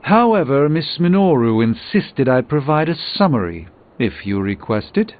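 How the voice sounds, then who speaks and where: A man's voice: mid-range voice, sing-song, normal speed, phone-quality sound, natural voice. A man voicing an erudite, self-assured middle-aged sorcerer in a video game, speaking in precise, wordy, pompous phrases. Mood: brisk, lecturing.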